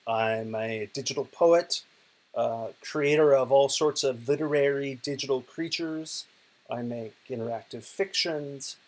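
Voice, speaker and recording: A middle-aged American man from Oklahoma speaks from his bed while unwell. His talk is relaxed and chatty, with frequent fillers and self-corrections. He recorded it himself on video in a bedroom. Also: mid-range voice, unhurried. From Oklahoma, United States. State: ill, resting in bed. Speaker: digital poet and professor.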